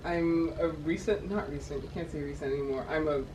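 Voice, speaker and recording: A woman in her twenties from Uganda, chatting casually as a podcast guest, with lots of fillers and quick laughs. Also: deep voice, distant mic, background noise. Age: 20s. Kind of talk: casual chat.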